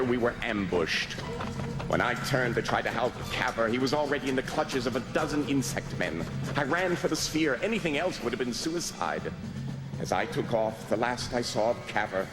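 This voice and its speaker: Radio play adventurer, male actor: dramatic, urgent, hard-headed, very lively pitch, speaks over music. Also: moderate pace, lightly acted. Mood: grave narration. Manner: theatrical, dramatic. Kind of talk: old time radio drama acting and narration.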